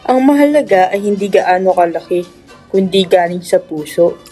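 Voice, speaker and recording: A woman's voice: lowish voice, animated, moderate pace, dark tone, soft background music, natural voice. A woman playing a teasing, witty village girl in a radio drama. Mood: earnest.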